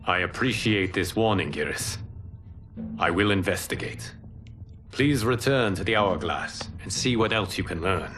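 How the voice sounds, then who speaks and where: An actor playing a calm, solemn god in a video game, speaking in a formal, measured and authoritative way. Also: male voice, low voice, over music. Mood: grave, composed. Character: calm, solemn male god guiding warriors. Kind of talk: cinematic video game acting.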